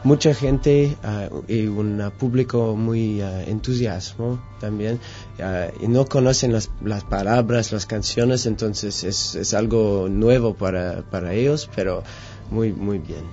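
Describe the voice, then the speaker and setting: Hesitant man from Quebec, low warm voice. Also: takes it slowly. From Quebec, Canada. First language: English, French. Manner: hesitant, searches for words, many "ah" fillers. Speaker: musician guest. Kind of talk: interview answers in halting Spanish. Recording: dull lo-fi radio sound with faint music.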